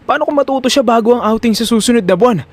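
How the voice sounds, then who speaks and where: A man's voice: extremely high pitch, rapid-fire, pitched above the performer's own voice. A man with a Filipino accent voicing an anxious, scheming young son in an audio drama. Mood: alarmed.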